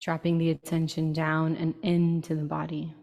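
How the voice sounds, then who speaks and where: Low, mellow woman guiding meditation in long reverb. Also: monotone, gravelly. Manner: unhurried instructions in short phrases with long pauses. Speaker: guided meditation teacher. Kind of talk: slow, soothing guided meditation.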